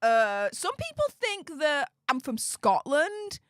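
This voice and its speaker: A chatty woman with a Yorkshire accent hosts a livestream and reads out her viewers' comments. Her voice sits a touch high and animated, and it sounds dry and close, with no room behind it. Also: slow, no echo. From Yorkshire, England. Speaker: YouTube host reading live chat. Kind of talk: casual livestream chat.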